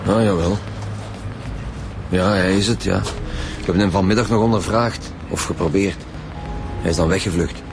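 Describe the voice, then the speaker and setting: A stern Flemish police chief with a lowish, firm voice, in a radio crime drama. He gives orders in a dry, commanding way, with music underneath. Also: steady tone, normal speed, long pauses. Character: stern middle-aged male police chief inspector. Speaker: chief inspector.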